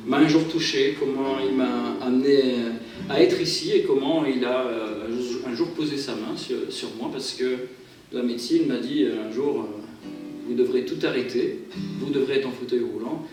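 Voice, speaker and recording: Relaxed French pastor in echoey church. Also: male voice, mid-range voice. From France. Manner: relaxed, chatty, self-deprecating, many hesitations. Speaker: pastor and guitarist. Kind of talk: informal church talk introducing music. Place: church hall. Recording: very echoey hall, far from the mic.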